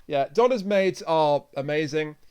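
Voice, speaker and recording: A man with a smooth, crisp voice sitting a little high in pitch. He talks casually, as a co-host in a relaxed review discussion. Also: a little slow. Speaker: podcast co-host reviewing audio dramas.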